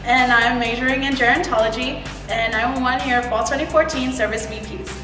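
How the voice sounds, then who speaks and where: A young woman from California introducing herself as a student club officer in a bright, upbeat way. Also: a little high, fairly even intonation, fairly quick. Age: young adult. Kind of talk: short self-introduction to camera. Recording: echoey room. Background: music playing.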